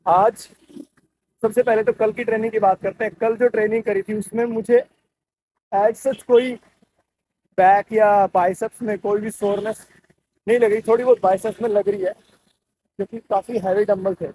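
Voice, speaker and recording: A man's voice: sits high, fairly even intonation, normal speed, pauses often, mellow. A man chatting casually to camera as a fitness vlogger, on a handheld phone. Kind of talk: casual vlog chat to camera, Hindi mixed with English. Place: outdoors on busy streets, later inside a gym. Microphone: phone held at arm's length. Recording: noisy street and gym ambience, traffic.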